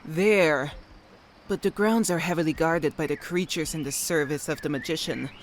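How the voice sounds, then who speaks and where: An actor voicing an earnest, formal guide from a fantasy realm in a comic-book dub. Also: male voice, a little high, normal speed, a little hiss or hum, natural voice. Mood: solemn. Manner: formal, grave.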